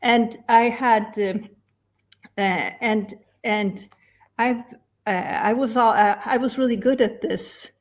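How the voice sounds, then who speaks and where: A woman from Sweden, a guest on a video call, talking in a halting way with frequent restarts and small laughs. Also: a little high, fairly even intonation. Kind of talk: casual interview chat.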